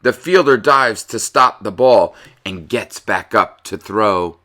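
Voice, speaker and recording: A man giving an expressive, dramatic read-aloud in the manner of a children's storyteller. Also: lowish voice, takes it slowly. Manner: animated, dramatic delivery.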